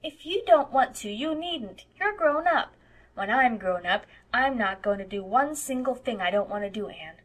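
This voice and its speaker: A woman reader plays a stubborn eight-year-old country boy from a storybook. He speaks in blunt, insistent bursts with homely childish grammar, pushed up higher and louder. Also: animated, moderate pace, poor audio. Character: defiant, plain-spoken eight-year-old farm boy. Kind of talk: audiobook character voice.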